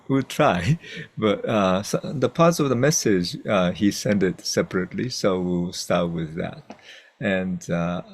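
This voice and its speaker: A low-voiced man hosts a memorial gathering as its reverend. He speaks softly and hesitantly, pausing often and filling the gaps with small sounds as he finds his words. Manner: soft-spoken, full of fillers and restarts. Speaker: host, reverend. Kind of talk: hosting a memorial event.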